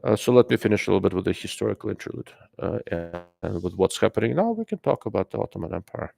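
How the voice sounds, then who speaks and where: A man's voice: on the low side, big pitch swings, fast, vocal fry, a little mellow, no echo. A man with an accent hosting a live stream, talking loosely and rambling with lots of fillers as he comments and answers viewers. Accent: non-native English. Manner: rambling, filler-heavy, greets chat mid-sentence. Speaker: livestream host.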